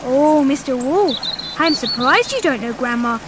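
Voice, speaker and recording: A woman's voice: high-pitched, animated, measured pace, very noisy. An actress playing a sweet, naive fairy-tale girl in a comic radio play, prim and storybook-polite. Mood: gushing, sweet.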